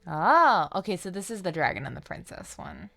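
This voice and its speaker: Woman, game streamer, slightly low pitch, creaky voice, casual commentary, faint music behind. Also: huge pitch swings, moderate pace, fairly bright tone. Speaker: live streamer playing a story game. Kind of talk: casual gaming commentary and reading aloud.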